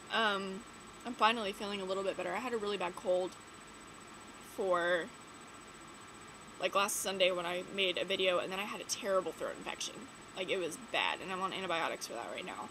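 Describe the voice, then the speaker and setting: Teen girl vlogger, stuffy from a cold, rambling filler-heavy chat, mid pitch, noisy room. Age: late teens. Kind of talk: casual chat to camera. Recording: audible background noise.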